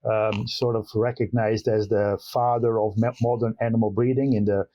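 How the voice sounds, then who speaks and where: An older man from the Netherlands with a Dutch accent, a professor answering interview questions in an unhurried way with many fillers and restarts. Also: fairly low pitch, little pitch movement, dark tone, muffled recording, slight background noise. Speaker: guest professor of animal science.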